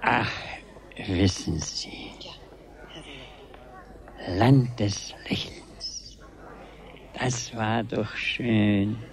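An older actor playing a frail, absent-minded old pensioner in a 1970s radio drama, speaking haltingly and gently. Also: male voice, on the low side, in a whisper, heavily acted. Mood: hesitant, wistful. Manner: halting, hesitant, breathy trailing phrases.

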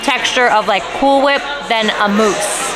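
A woman's voice: on the high side, a little slow, crowd noise. A woman in her thirties chatting casually at a restaurant table as she tastes and rates dishes, often searching for her words. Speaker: food vlogger. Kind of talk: casual food review.

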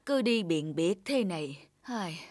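A woman voicing a caring, worried old village grandmother in a cartoon, gently scolding and coaxing. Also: lowish voice, expressive, measured pace, dry recording, clean studio sound. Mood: worried, chiding. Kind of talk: cartoon voice acting.

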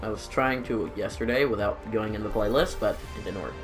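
A man's voice: on the low side, brisk, music in the background. A young man with a stuffed-up nose and throat, chatting casually while playing a video game, rambling and apologizing as he goes. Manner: rambling, self-deprecating, apologetic. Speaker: gaming YouTuber. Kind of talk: casual gameplay commentary.

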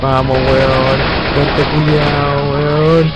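A Chilean man with a fairly high voice leads his friends through an online shooter. He talks casually, full of slang and swearing, with game music clearly playing behind him. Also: flat delivery, drawn-out words, low-quality recording. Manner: profane, slangy. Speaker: online co-op gamer leading the team. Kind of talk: casual gaming chat with team callouts. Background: zombie shooter game audio with music.

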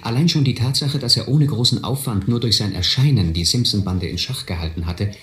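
A man's voice: medium pitch, fairly quick, fairly bright tone, lightly acted. An actor playing a reflective man who narrates his boyhood adventures in a radio play. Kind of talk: scripted first-person radio play narration. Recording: echoey, slightly noisy audio drama recording.

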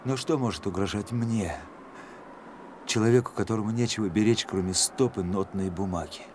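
An actor playing a weary, troubled young musician with polite, educated speech in a radio drama. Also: male voice, lowish voice, normal speed, lightly acted. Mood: brooding, anguished. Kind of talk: Soviet radio drama acting. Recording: noisy vintage radio drama recording.

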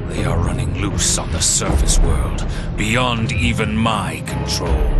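An actor playing a sinister, commanding older devil in a video game, menacing and theatrical. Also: male voice, lowish voice. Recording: loud game music underneath.

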